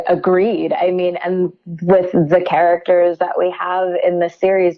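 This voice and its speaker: A woman speaking as an interview guest, enthusiastic and halting as she picks her words in casual promotional talk. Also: lowish voice, dull audio.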